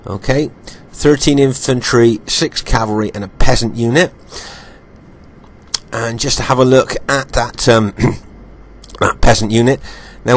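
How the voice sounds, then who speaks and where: A man with a slightly croaky voice, still getting over an illness, narrates a strategy game replay from home. He is unhurried and filler-heavy, and he often repeats points before moving on. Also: mid-range voice. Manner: unhurried, many ums and uhs, repeats points. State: croaky voice while recovering from illness. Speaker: game commentator. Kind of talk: gameplay commentary over footage.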